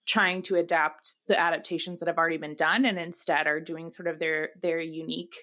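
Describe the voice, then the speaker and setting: A woman's voice: low-pitched, steady tone, brisk, pushed and bright. Playful American woman chatting. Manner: joking, colloquial, playful. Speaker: podcast co-host. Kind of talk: casual chat, comic commentary.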